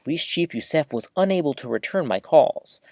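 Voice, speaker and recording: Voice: mid-range voice, brisk, no room sound, studio quality, natural voice. An actor playing a rambling, easily flustered small-town radio host giving a live broadcast in an audio drama, awkward and chatty. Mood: reporting. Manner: awkward, animated, throat-clearing, chatty.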